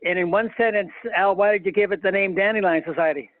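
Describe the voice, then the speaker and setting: A man's voice: high-pitched, fast, no room sound, phone-quality sound. A man talking conversationally in an online panel, prompting others with questions, over thin call audio. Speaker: panel speaker.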